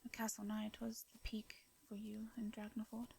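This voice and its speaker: A woman with an English accent playing a gentle, courteous vampire in an intimate fantasy audio drama, speaking softly and breathily in formal, hesitant phrases. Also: mid-range voice, monotone, slight background noise, close to an everyday voice. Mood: gently curious. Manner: soft breathy delivery, formal old-fashioned diction, hesitant pauses. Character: gentle, courteous female vampire, centuries-worn, wistful yet devious.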